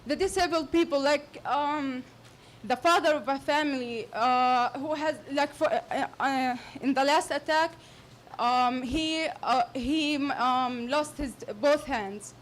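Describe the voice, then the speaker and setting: A woman with a Palestinian Arabic accent, speaking English as her second language, making a halting, earnest comment from the floor of a public Q&A. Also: sits high, a little hiss or hum. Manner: halting and earnest, many restarts. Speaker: audience member. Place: lecture hall.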